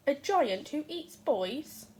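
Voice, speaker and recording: A big, brusque storybook wife, played by a woman reader scolds and bosses with a kind heart underneath. Her voice sits high and comes out loud and urgent, delivered at a slow, clear storytelling pace. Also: very animated, heavily acted. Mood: scolding.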